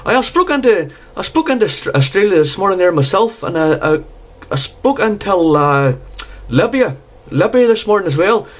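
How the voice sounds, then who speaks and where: A high-pitched man chats casually over amateur radio. He talks like a hobby operator and keeps dropping "there" at the ends of his phrases. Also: sing-song, moderate pace. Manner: repeatedly tags phrases with "there". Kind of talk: casual radio contact chat.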